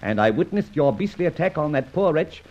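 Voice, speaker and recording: An actor playing a suave, self-assured gentleman adventurer with an arch, taunting manner, in the style of a vintage radio serial. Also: a little high, very animated, brisk. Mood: mocking, confident. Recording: muffled old radio recording.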